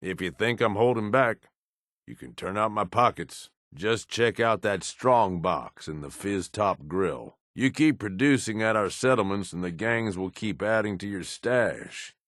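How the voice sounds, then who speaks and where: A man acting as a middle-aged raider lieutenant in a video game, gruff and blunt, with a world-weary, pragmatic manner and rough slang. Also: fairly low pitch, unhurried, fairly bright tone, dry recording, clean studio sound. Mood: matter-of-fact, calculating. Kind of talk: video game character dialogue.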